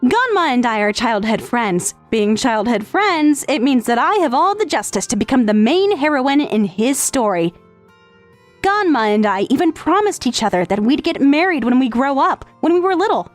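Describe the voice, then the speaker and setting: A woman's voice: sits high, big pitch swings, normal speed, soft background music. A woman playing a possessive, self-assured teenage schoolgirl in a dramatic anime dub style. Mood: smug, proud. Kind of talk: anime-style dub acting with narrated monologue.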